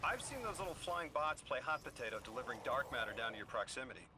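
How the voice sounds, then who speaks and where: A man's voice: mid-range voice, lively intonation, fast, lightly acted. A man playing an earnest, mild-mannered guide in a video game, speaking thoughtfully and a little hesitantly. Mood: concerned. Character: earnest, mild male radio guide, sympathetic to aliens. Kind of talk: scripted video game dialogue. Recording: clear background noise of game ambience.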